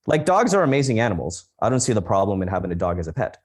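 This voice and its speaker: A man's voice: fairly low pitch, big pitch swings, very fast, a little mellow. A man co-hosting a podcast in casual chat, speaking frankly and outspokenly. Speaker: podcast co-host.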